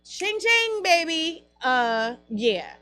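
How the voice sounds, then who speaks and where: A woman co-hosting a radio talk show, blunt and animated, laughing as she tells stories. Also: very high-pitched, drawn-out words, faint music behind. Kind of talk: casual chat.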